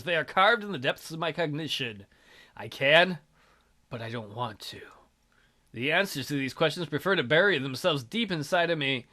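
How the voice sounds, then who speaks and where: A man's voice: fairly high pitch, sharp tone. A man reading a visual novel aloud theatrically as a let's play host, with casual, jokey asides.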